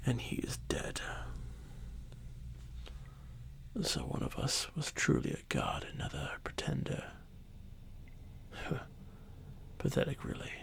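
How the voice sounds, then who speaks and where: Man playing an arrogant whispering ancient dragon boy. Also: mid-range voice. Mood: haughty, scornful. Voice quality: breathy, whispery, with creaky edges. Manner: haughty, condescending, unhurried formal speech. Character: arrogant ancient dragon boy, fallen god, dominant and disdainful. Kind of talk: fantasy ASMR roleplay monologue.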